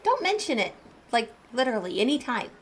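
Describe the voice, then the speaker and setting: A woman's voice: fairly high pitch, very animated, a little fast, slight background noise. A woman game streamer reading story text aloud and commenting in a casual, agreeable way during a live playthrough.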